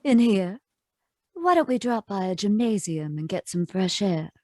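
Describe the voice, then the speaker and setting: A sardonic femme fatale from an old radio mystery, acted by a woman, mid-pitched and unruffled. She tosses out taunting wisecracks without hurry, heard close and dry with no room around her. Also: animated, a little slow, mellow, dry recording. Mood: cool, taunting. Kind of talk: 1940s radio noir acting.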